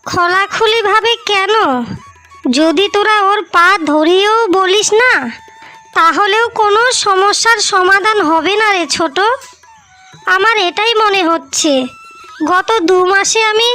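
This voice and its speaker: A woman's voice: very high voice, unhurried, pushed and bright, soft background music, exaggerated voice. A woman voicing an earnest, sorrowful young married village sister in a cartoon story, with a pleading delivery. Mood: sorrowful, resigned.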